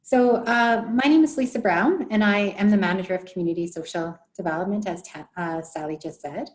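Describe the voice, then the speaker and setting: A woman with a quiet voice presenting as a town community services manager over a video call. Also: mid-range voice, a little fast, low-quality recording. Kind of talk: online meeting presentation.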